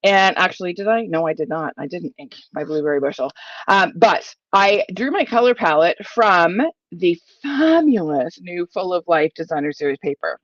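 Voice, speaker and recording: A middle-aged woman from Ontario, giving a chatty, enthusiastic live crafting tutorial while croaky from a cold. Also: fairly low pitch, expressive, normal speed, dry recording, highs cut off. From Ontario, Canada. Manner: chatty and enthusiastic, many fillers. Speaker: papercraft demonstrator.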